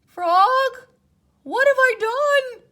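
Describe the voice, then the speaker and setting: Woman voicing an excitable, very high-pitched storybook toad. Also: very slow, exaggerated voice. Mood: distressed, pleading. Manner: crying out, shouting, dramatic. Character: excitable, boastful, easily frightened male toad. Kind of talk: children's storybook character voice.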